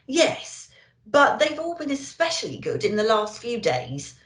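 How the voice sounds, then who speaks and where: A woman's voice: on the high side, wildly swooping pitch, a little slow, a bit bright, voice pushed up, exaggerated voice. A woman voicing a little old male elf, an anxious, bustling helper in a children's storybook. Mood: hesitant, reassuring. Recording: roomy echo.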